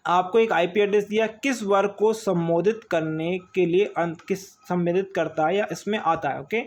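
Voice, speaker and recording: A man teaching an online exam-prep quiz lesson, asking each question and repeating the answer, with frequent "okay". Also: high voice.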